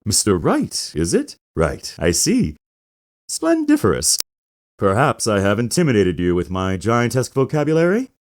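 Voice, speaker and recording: A man's voice: on the low side, huge pitch swings, normal speed, a little hiss or hum. A man voicing a smug, flamboyantly arrogant company president in a theatrical video game fan dub, with a pompous, grandiose way of talking. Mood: grandly condescending. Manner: pompous, grandiose, mangles big invented words.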